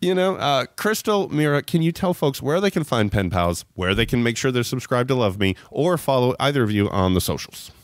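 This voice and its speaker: A man's voice: medium pitch, animated, fairly quick, few pauses, no echo, studio quality. A man hosting a podcast interview in a casual, chatty way, enthusiastic and rambling, often repeating phrases for emphasis. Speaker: podcast host interviewing two guests.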